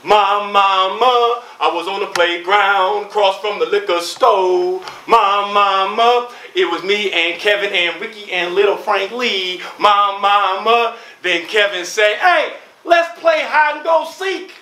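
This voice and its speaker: A man's voice: sits high, fairly even intonation, slow, few pauses, sharp tone, a little hiss or hum. A man performing a rhythmic spoken-word piece with a repeated refrain, acting out voices along the way. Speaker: playwright and performer. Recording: echoey hall.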